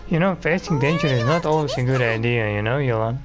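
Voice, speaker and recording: A man's voice: medium pitch, quick pace, over music. A man giving casual game commentary as a streamer, blunt, profane and grumbling. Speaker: gaming streamer.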